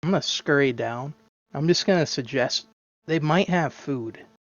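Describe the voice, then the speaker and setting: A player in a tabletop game, speaking in a sly, deadpan way with dark humor. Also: medium pitch, normal speed. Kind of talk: in-character tabletop roleplay. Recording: dull dry lo-fi mic.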